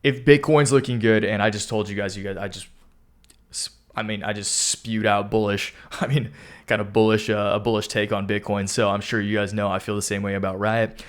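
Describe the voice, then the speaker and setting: A young man with a fairly low voice, hoarse and losing it, who keeps stopping to clear his throat. He talks through market charts in a casual, pumped-up way, speaking into a close mic. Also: flat delivery, fairly quick. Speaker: stock market video host. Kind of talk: casual chart analysis talk.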